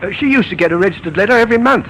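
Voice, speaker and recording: A man's voice: sits high, animated, rapid-fire, fairly bright tone. A man playing an eager young postal boy in an old-time radio drama, earnest, hesitant and respectful. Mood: earnest, nervous. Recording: faintly noisy old radio recording.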